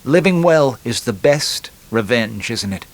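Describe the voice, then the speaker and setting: A man's voice: medium pitch, expressive, unhurried. A glib young man, acted out by a male narrator in a storybook, talks in a chatty, wisecracking way. He leans on words with punchy emphasis, and a streak of resentment runs under the joking. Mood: smug, insinuating.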